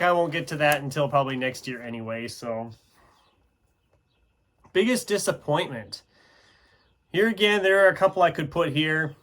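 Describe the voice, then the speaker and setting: A man's voice: fairly high pitch, fairly bright tone. A man talking casually and unscripted as a book video host, rambling and often interrupting himself in a conversational way. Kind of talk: casual unscripted chat to camera.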